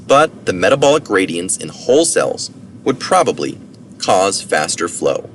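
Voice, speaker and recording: A man's voice: sits low, big pitch swings, a little slow, vocal fry. A man reading a science article aloud as narration, with informal asides for citations. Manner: informal asides for citations, occasional self-correction. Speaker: reader of articles. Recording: distant mic, noticeable background noise.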